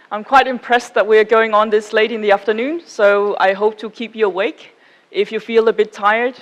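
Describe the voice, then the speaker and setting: A woman from Denmark with a Danish accent, presenting to a conference audience in an informal, measured manner and checking in with her listeners. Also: mid-range voice, low-quality recording. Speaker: conference speaker.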